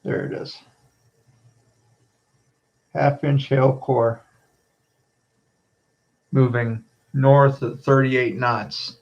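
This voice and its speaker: A man doing casual live storm spotter commentary for his stream, halting and repeating phrases as he addresses viewers. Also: mid-range voice, flat delivery, dull audio.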